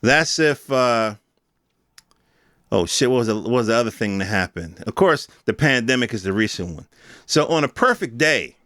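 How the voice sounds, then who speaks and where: A man's voice: medium pitch, expressive, moderate pace, a bit bright, dry recording, studio quality. A middle-aged American man hosting a podcast, talking in a casual, rambling, streetwise and opinionated way. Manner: informal, streetwise, opinionated, emphatic with swearing. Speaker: podcast host. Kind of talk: casual rambling monologue commentary.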